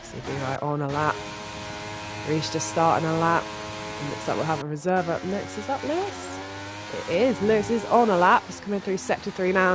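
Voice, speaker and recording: A live sim racing commentator with a stuffy cold, reacting quickly and excitedly to lap times. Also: mid-range voice, noisy background. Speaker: race commentator.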